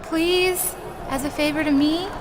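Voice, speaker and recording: A high-pitched young woman as a wrestling manager in a video game, exasperated and earnest, quick to plead and quick to give orders. Heavy noise sits behind her voice. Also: fairly even intonation. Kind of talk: video game cutscene acting.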